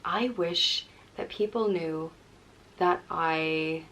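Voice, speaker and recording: A young Irish woman whose accent carries a Canadian tinge talks in a slightly low, easy voice. She reads each question aloud, then answers in a relaxed, chatty way, with small laughs between her thoughts. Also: drawn-out words, rough recording. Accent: Irish with a Canadian-tinged lilt. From Ireland. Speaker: vlogger. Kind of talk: casual question-and-answer chat.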